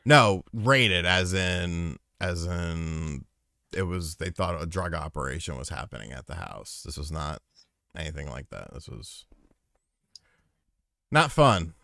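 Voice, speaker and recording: Low gravelly dude cohosting a podcast, cussing and joking, bright dry sound. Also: male voice, lively intonation, slow pace, no room sound. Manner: joking, profane, rambling. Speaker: podcast co-host. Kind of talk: casual chat.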